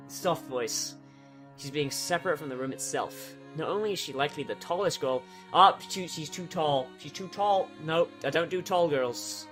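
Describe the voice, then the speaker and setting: A man's voice: a little high, pushed and bright. A man reading a visual novel aloud, cutting in with sarcastic, sweary jokes. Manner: sarcastic, swears freely, breaks off to joke. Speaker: let's player.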